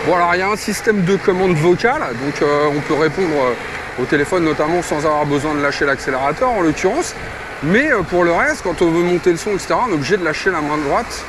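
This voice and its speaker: A man reviews gear casually. He talks colloquially and hesitantly, thinking aloud as he goes. Clear traffic and vehicle noise runs behind him. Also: on the high side, animated, fast talker.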